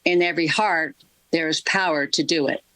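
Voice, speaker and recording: A woman reading a scripted tribute in a formal, solemn and deliberate way. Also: low-pitched, fairly bright tone, no echo, poor audio. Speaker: ceremony reader.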